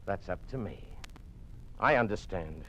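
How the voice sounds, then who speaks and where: A man's voice: low-pitched, lively intonation, unhurried. A man playing a defiant, suspicious doctor in a police state, blunt and challenging, in an old-time radio drama. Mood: grave, restrained. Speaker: actor. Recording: dull, lo-fi old radio recording.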